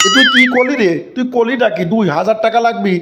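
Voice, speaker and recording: A man's voice: very high voice, quick pace, over loud music. A man voicing a stingy village father in a cartoon, scolding and mocking in a Bogura Bangla accent. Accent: Bogura dialect of Bangla.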